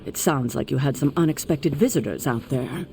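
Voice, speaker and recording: An actor playing a composed, authoritative leader of a superhero team, speaking in a measured, formal, articulate way in a dramatic video game. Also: male voice, mid-range voice, gravelly, very noisy, natural voice. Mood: grave, informative. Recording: music behind the voice.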